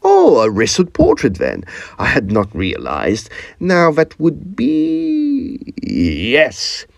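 Man playing a creaky, slow, musing art dealer. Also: a little high, wildly swooping pitch, no room sound, full character voice. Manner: halting, musing. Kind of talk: audio drama acting.

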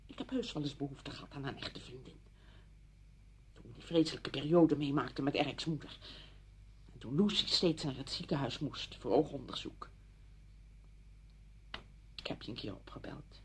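An actress playing an exasperated, blunt, emotional neighbour in a radio play, agitated and emphatic. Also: female voice, very deep voice, moderate pace, pauses often, husky, exaggerated voice. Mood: hurt, reproachful. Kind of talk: Dutch radio play acting.

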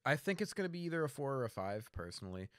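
A man's voice: medium pitch, very animated, very fast, dry recording, studio quality. A man co-hosting a casual discussion, giving blunt opinions. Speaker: podcast co-host.

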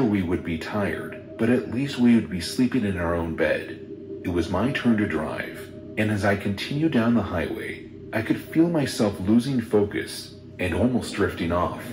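Deep-voiced man narrating a scary story. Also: noisy background. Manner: steady, measured first-person storytelling. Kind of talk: scripted horror story narration. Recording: roomy sound with music underneath.

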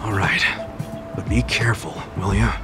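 An earnest, loyal man of about forty, a game hero, voiced by an actor. His voice is on the low side, with clear music underneath. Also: moderate pace, close to an everyday voice. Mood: concerned. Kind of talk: dramatic video game acting.

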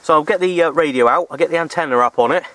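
A man's voice: a little high, racing, fairly bright tone. A man in his thirties talking casually and a little hesitantly to a handheld camera outdoors, rambling through explanations as a hobby vlogger. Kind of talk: casual outdoor vlog talk. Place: outdoors in open countryside. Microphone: handheld camera at arm's length.

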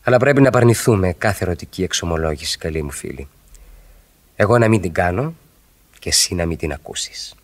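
An ardent, swooping middle-aged flatterer. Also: male voice, sits low. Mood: amorous, ardent. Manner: ardent, theatrical, repeats phrases for effect. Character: smitten married man, effusively flattering his female friend. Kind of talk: radio drama acting.

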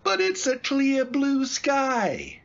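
A man acting a blunt, exasperated cab driver in a radio play. His delivery is slow but swings widely in pitch, with a husky edge, and he sounds incredulous and wary. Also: very animated, fairly bright tone, dull audio, exaggerated voice. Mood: alarmed. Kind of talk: old-time radio drama acting.

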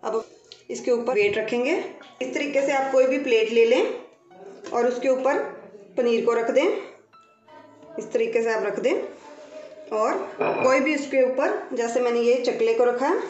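A woman's voice: a little high, a little mellow, highs cut off. A woman hosting a cooking channel, giving patient step-by-step kitchen instructions and repeating each step. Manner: unhurried, repeats steps patiently. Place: home kitchen. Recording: roomy, distant sound with faint music.

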